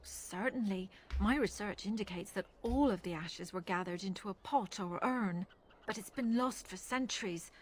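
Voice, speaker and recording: Measured woman scholar of faith played by an actress. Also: medium pitch, a little hiss or hum, natural voice. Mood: earnest, sceptical. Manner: measured, earnest, articulate. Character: thoughtful, sceptical female religious scholar.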